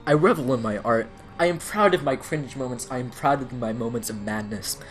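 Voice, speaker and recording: Guy doing a pretentious art poster, mid-pitched, grand and earnest dramatic reading, bit of music under it. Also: brisk, a bit bright, natural voice. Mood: proud, earnest. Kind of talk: dramatic reading of an internet comment argument.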